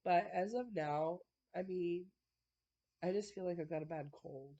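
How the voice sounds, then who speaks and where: A woman's voice: on the low side, a little slow, mellow, highs cut off. A woman with a congested, stuffy nose chatting casually to the camera at home, rambling with lots of fillers and frequent little laughs. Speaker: vlogger. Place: at home indoors.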